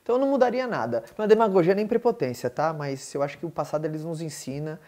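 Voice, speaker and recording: A man who hosts a podcast, answering questions in an energetic, emphatic motivational chat with lots of fillers. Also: a little high, very fast. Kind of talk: casual motivational talk.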